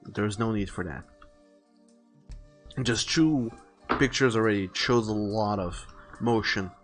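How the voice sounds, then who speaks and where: A man's voice: lowish voice, slow. A man streaming casually, rambling and rephrasing as he thinks his plans aloud. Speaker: live streamer illustrating a visual novel. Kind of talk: casual livestream chat, thinking aloud while planning artwork. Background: music.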